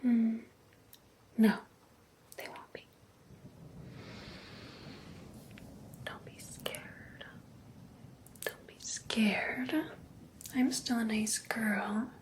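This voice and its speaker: Slow whispering obsessed vampire ex-girlfriend, acted by a woman. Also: on the high side, fairly even intonation. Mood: possessive, menacing. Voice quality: soft, breathy whisper. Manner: slow, coaxing, teasing, lingering on endearments. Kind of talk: whispered ASMR roleplay.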